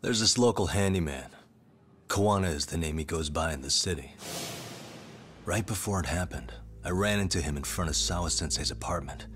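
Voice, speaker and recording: Very deep-voiced, steady detective. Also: male voice, a little hiss or hum. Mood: frank, pensive. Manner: measured, matter-of-fact, casual. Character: calm, steady male detective, frank and protective. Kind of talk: dramatic game cutscene dub acting.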